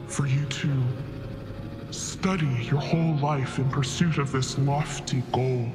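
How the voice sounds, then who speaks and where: A man's voice: mid-range voice, close to an everyday voice. A man playing an ancient, wise celestial beast in a tabletop game, speaking in a solemn, oracular manner. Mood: gentle, grave. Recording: long reverb, music behind. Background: music.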